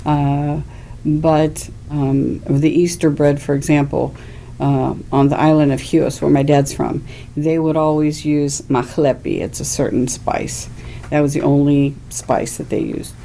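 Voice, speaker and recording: A woman with a low, gravelly voice and a mellow, dark tone, whose first language is Greek, talking through family memories at an easy pace, full of "you know" and "uh". A faint hiss of background noise sits under her voice. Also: little pitch movement. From Weirton, West Virginia. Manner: unhurried, with frequent fillers and "you know". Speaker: oral history interviewee. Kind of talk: relaxed personal recollection.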